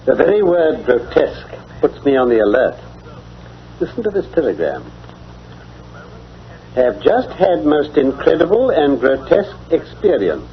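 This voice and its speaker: Keen English sleuth, crisp, wry, lively swings in pitch, old radio play. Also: male voice. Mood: alert. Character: keen, commanding English gentleman detective, wry and brisk. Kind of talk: old-time radio drama acting. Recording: dull lo-fi old radio recording.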